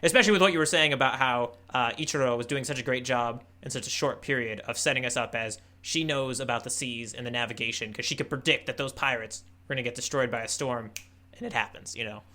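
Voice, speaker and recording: A man's voice: medium pitch, fast talker, pushed and bright. A man co-hosting a podcast in casual, good-humored conversation, often doubling back on his sentences. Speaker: podcast co-host, newcomer tourist. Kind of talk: casual chat, manga readalong podcast.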